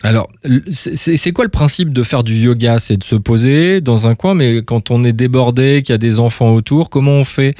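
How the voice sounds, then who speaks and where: A man's voice: medium pitch, normal speed, hardly any pauses, dark tone, no echo. Male radio host, close dry sound. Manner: hesitant, repeats and restarts his words. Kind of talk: casual live radio interview.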